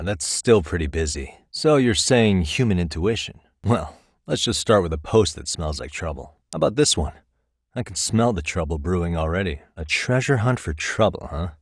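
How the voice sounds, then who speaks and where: A man's voice: sits low, no room sound, studio quality. A man playing a streetwise private detective in a video game, cool, wry and dogged, with an American accent and a casual, conversational delivery. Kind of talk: English video game voice acting.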